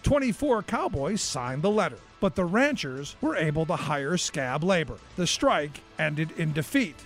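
A man reading a scripted history segment as narrator, in a lively storytelling manner. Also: on the high side, a little slow, soft background music.

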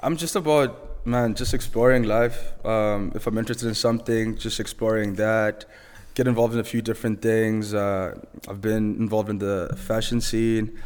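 A man's voice: fairly low pitch, steady tone, normal speed, darkish tone, smooth, long reverb tail. A young man with a Zimbabwean accent, a podcast guest telling stories in a laid-back, easygoing way. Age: young adult. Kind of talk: casual storytelling.

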